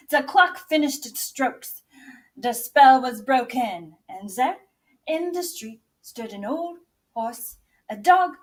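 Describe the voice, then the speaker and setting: Expressive woman storyteller, high and slow. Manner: expressive, theatrical, deliberate. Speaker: English teacher and drama director reading aloud. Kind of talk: dramatic children's storybook reading.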